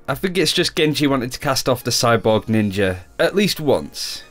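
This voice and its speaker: A man's voice: medium pitch, big pitch swings, normal speed, fairly bright tone. Chatty male gaming host over faint music. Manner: chatty, informal, conversational. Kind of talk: casual video commentary. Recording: music behind the voice. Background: faint background music.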